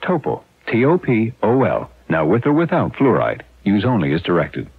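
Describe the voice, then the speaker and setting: A man's voice: fairly low pitch, huge pitch swings, moderate pace, breathy edge. A man reading a scripted radio commercial as an announcer. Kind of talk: scripted radio advertising pitch. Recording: lo-fi 1970s radio broadcast.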